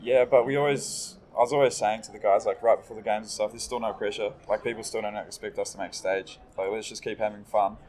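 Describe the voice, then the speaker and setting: A young man from New Zealand with a New Zealand accent, a player answering interview questions in a relaxed, agreeable way. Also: fairly low pitch. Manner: laid-back, quick, agreeable. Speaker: esports player. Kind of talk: casual interview.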